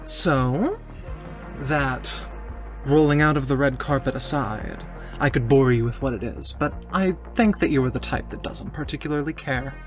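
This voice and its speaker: A woman's voice: extremely low pitch, normal speed, soft background music. A player voicing a poised, intrigued cybernetic woman who speaks in a formal, wry manner in a tabletop game.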